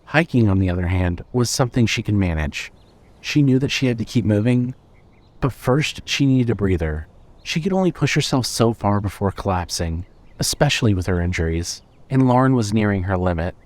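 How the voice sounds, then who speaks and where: A man's voice: lowish voice, lively intonation, normal speed, a little mellow. A man narrating a scripted fiction story as the narrator of an audio drama.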